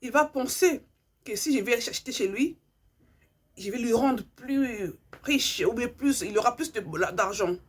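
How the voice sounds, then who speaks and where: Husky-voiced woman. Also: fairly high pitch, a little slow. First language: Lingala. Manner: earnest, repeats herself, hunts for words. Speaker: vlogger. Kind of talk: impassioned monologue to subscribers.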